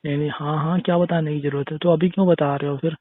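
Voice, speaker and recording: A man's voice: a little high, steady tone, fast, no echo. A young man chatting casually as a boyfriend on a phone call, insistent and full of tag questions. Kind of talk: casual relationship phone chat. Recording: narrow-band tinny phone call.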